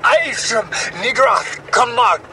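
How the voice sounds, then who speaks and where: A man's voice: very high voice, animated, takes it slowly, far from the mic, noisy background, full character voice. An actor playing a terrified, weak-willed man in a horror audio drama, stammering and raving in agitated fragments. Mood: frantic, raving.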